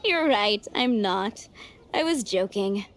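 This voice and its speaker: A woman's voice: mid-range voice, lively intonation, takes it slowly, slight background noise. A woman voicing a wry, confident female tavern boss in a video game, playful and teasing. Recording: music playing behind the voice.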